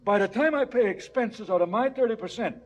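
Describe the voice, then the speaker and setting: An actor playing an aggrieved, excitable gangland boss in a stylised seventies radio drama, protesting indignantly. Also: male voice, high-pitched, brisk. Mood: indignant, pleading. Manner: exclaiming, indignant, swinging between outbursts and subdued muttering. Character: agitated aggrieved male crime boss, protesting and whining.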